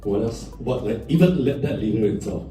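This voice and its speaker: Man, panel moderator, playful teasing, very lively pitch, echoey hall, distant mic, music behind. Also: brisk. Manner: playful, teasing, switches between English and Mandarin. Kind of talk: lively event hosting, joking banter. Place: conference hall on stage.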